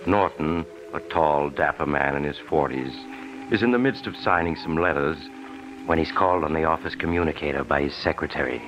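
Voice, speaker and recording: An actor playing a suave, eerie storyteller, narrating in vintage radio suspense style. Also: male voice, extremely low pitch, brisk, noisy background. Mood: sinister, inviting. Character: mysterious traveler, suave, eerie male storyteller. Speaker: host and narrator. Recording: old radio recording with music behind.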